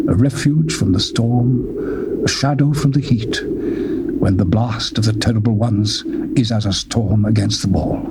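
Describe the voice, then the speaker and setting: A man reads scripture in a solemn, declamatory way, climbing to fervent peaks. His voice sits in a middle pitch. A clear hiss from the old tape runs under every line. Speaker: Bible reader. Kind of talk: dramatized scripture reading.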